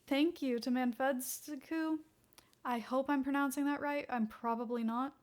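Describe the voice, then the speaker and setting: A woman's voice: sits high, fairly even intonation, normal speed. A woman narrating a scripted fan fiction story as its reader.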